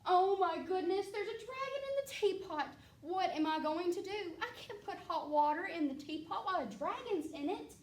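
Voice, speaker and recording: A woman voicing a flustered, panicky cook, with high, fretful exclamations, in a storybook reading. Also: sing-song, normal speed. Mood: panicked. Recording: roomy distant mic, faint background noise.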